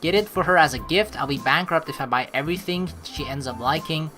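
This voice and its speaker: A woman's voice: sits low, brisk. A woman hosting a let's play, rambling informally and reading the game's text aloud in a casual way. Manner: rambling, animated, informal with mild swearing. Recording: faint game music behind the voice. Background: game music.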